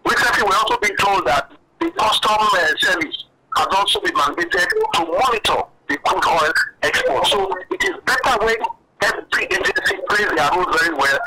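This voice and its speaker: A man's voice: high-pitched, big pitch swings, moderate pace. A middle-aged Nigerian man with a Nigerian accent, giving earnest analysis as a guest on a phone-in news interview. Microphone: telephone line. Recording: noisy telephone line.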